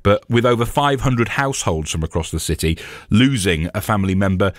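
A man's voice: fairly low pitch, lively intonation, a little fast, a little hiss or hum. A man presenting a local radio interview, chatty and full of fillers and restarts. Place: radio studio. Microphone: studio microphone.

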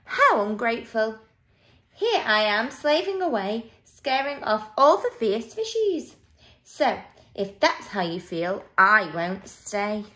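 Proud, touchy storybook anemone played by a woman, high, animated and wheedling. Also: slow pace, exaggerated voice. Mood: offended. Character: proud female sea anemone, pleading and easily offended.

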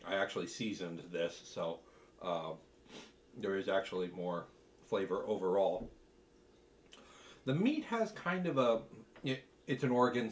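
A middle-aged man with a fairly low voice talks casually through a food tasting. He is halting and thoughtful, often pausing between bites as he works out what to say. It sounds like a home cook hosting at his own kitchen table. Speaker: cooking video host.

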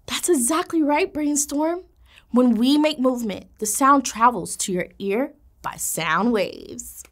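A woman in her thirties, a teacher presenting a kids' educational video with an animated, encouraging manner. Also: sits high, unhurried. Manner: animated, encouraging, gestures while speaking. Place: indoor classroom studio set.

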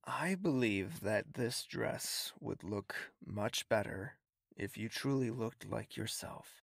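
A man playing a wealthy, smitten, possessive young nobleman in an intimate audio drama, speaking in a husky, teasing, courtly way. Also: lowish voice, takes it slowly, dry recording, studio quality, full character voice. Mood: assured, devoted. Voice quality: husky, breathy, creaky.

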